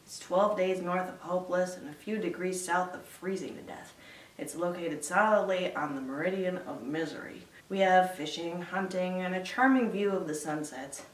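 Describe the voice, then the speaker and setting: A woman playing a sarcastic, awkward young Viking man in a dry, deliberate film-style recitation. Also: on the low side, natural voice. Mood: wry narration. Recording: echoey room, distant mic.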